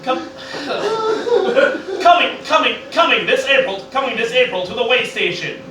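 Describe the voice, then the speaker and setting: High-voiced man announcing, echoey room. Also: expressive, moderate pace, bright, forceful tone. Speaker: live show co-host and announcer. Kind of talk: improvised mock promo announcements. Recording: echoey room, distant mic, background noise.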